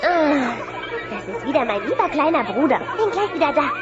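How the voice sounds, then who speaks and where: Squeaky, gossipy teenage dinosaur girl. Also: sing-song, moderate pace, loud music behind the voice, a loud crowd. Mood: annoyed. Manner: gossipy, whiny, exaggerated. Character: self-absorbed, chatty teenage dinosaur big sister, easily annoyed. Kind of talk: children's audio play acting. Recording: muffled old cassette audio play.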